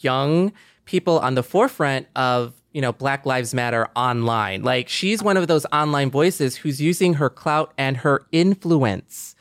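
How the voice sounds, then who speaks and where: A man's voice: mid-range voice, measured pace, a bit bright, no echo, studio quality. A man hosting a podcast in a casual conversational style, speaking in an earnest, encouraging way. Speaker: podcast host.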